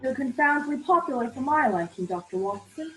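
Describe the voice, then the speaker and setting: Woman playing a petulant, jealous English gentleman. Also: high-pitched, lively intonation, fairly quick, music in the background, poor audio. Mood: sullen. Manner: blunt, petulant. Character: hot-tempered, jealous English gentleman, sullen and blunt. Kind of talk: 1940s radio play acting.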